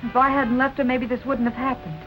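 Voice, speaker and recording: A woman's voice: sits high, flat delivery, brisk, close to an everyday voice. An actress playing an earnest, worried young woman sleuth in a vintage film noir. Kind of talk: 1940s film noir acting. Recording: muffled old film soundtrack, music underneath.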